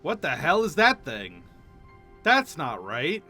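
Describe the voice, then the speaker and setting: A man chats casually while streaming a fantasy game. His voice is high and bright, with animated swings in pitch and an unguarded, sweary manner. Music plays faintly behind him. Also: slow pace. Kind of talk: casual gameplay chat, reading dialogue aloud.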